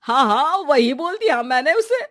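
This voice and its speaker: Woman playing an indignant, sharp-tongued mother-in-law. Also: high-pitched, sing-song, normal speed, no room sound, studio quality, voice pushed up. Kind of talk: animated family comedy acting.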